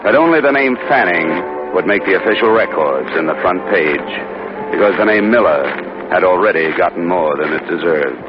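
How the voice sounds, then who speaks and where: An actor playing a tough, world-weary American insurance investigator in 1950s radio detective style. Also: male voice, low voice, lively intonation, moderate pace. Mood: wry, sober. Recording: dull old radio recording, music behind.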